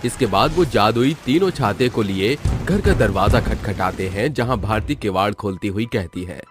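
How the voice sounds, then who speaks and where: A man narrates a scripted moral tale as the storyteller. His voice sits fairly low. Music plays clearly behind him throughout. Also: animated, normal speed.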